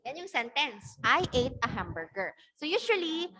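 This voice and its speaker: Filipino teacher, woman, high voice, patient step-by-step lecturing on a video call, keeps asking "okay?". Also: big pitch swings, moderate pace, bright, forceful tone. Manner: explains patiently, often checks with "okay?". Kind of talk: online class lecture.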